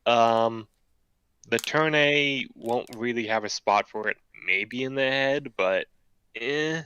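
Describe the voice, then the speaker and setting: A man chatting casually while building a model kit, relaxed and joking, thinking aloud with fillers. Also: mid-range voice, takes it slowly, clear, resonant tone, highs cut off. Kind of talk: casual chat.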